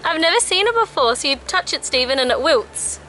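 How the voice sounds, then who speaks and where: A bubbly young woman with a high, bright voice, chatting playfully into a camera held at arm's length. Light music runs underneath her. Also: very animated, fairly quick, low-quality recording. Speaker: travel vlogger. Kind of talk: casual vlog chat. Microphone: handheld camera at arm's length.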